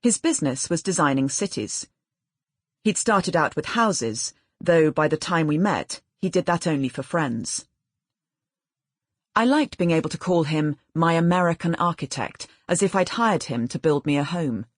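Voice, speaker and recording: A woman reading literary fiction as an audiobook narrator in a measured, expressive way. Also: sits low, no echo, clean studio sound.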